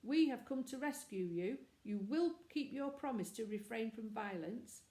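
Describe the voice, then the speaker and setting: A stern, proper village clergyman, read by a woman. He speaks formally and severely, as a storybook figure of authority. The tone is mellow and the room echoes. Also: fairly high pitch, animated, normal speed, lightly acted. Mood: solemn. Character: stern, formal village vicar, grown man.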